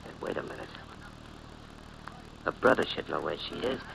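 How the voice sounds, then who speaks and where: Actor as a brutal gangster, noisy old radio recording. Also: male voice, normal speed, lightly acted. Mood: menacing, suspicious. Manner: gruff, slangy tough-guy talk. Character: brutal, menacing tough killer and gangster. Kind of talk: 1940s radio crime drama acting.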